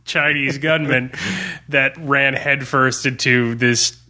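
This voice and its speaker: A man's voice: medium pitch, steady tone, slow pace, bright, forceful tone. A man co-hosting a gaming podcast, telling a wry, casual anecdote as a game master among friends.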